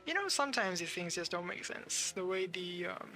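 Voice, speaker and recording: A man giving casual live game commentary as a streamer, thinking aloud with hesitant pauses. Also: high-pitched, expressive, pushed and bright, soft background music.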